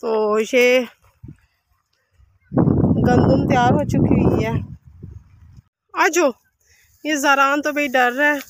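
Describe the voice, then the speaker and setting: A woman's voice: high voice, fairly even intonation, slow, pauses often, very noisy. A young woman vlogging outdoors on a farm with her phone, cheerfully chatting and coaxing her children along. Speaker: vlogger and mother. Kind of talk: casual family vlog narration. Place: open farm field outdoors.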